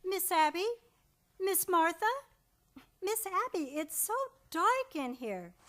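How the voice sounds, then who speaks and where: A woman's voice: very high-pitched, lively intonation, takes it slowly, a bit bright, slight background noise, exaggerated voice. An actress playing an alarmed, skeptical young woman from next door in an old-time radio play. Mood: uneasy.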